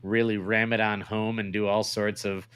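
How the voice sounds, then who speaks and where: A man's voice: fairly low pitch, flat delivery, normal speed, fairly bright tone, smooth. A man co-hosting a podcast with casual, joking banter. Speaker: podcast co-host.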